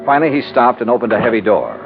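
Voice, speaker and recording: An actor playing a wry, no-nonsense insurance investigator, crisp and probing, in mid-century American radio detective style. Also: male voice, mid-range voice, very animated, racing, music in the background. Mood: brisk narration. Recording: dull old radio recording.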